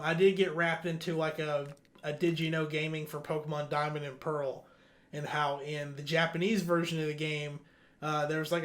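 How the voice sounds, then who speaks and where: A man hosting a casual gaming news discussion, talking bluntly and informally, with some loose swearing. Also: on the high side. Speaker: gaming podcast host.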